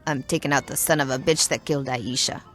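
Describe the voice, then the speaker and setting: A woman's voice: a bass voice, fairly even intonation, quick pace, faint music behind. A woman playing a tough, sardonic young gangster in a video game, with blunt delivery. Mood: hard, vengeful. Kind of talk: video game cutscene acting.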